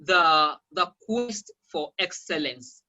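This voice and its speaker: Bright, emphatic Nigerian-accented teacher. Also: mid-range voice, lively intonation, slow pace, dull audio. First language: Yoruba. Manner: emphatic, repeats key phrases. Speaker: guest teacher of English. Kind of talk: candid interview answers.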